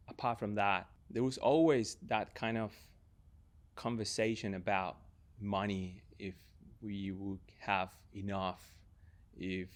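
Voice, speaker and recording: Young male podcast guest, Argentine Spanish accent, fairly low voice, reflective casual talk. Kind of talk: casual, reflective personal conversation.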